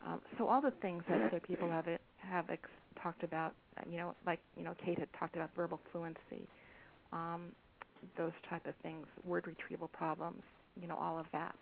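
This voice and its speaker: A soft-spoken woman, an author, being interviewed over the phone, speaking hesitantly with many pauses and ums. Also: fairly low pitch, steady tone, vocal fry. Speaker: author and guest. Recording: tinny phone line with faint hiss.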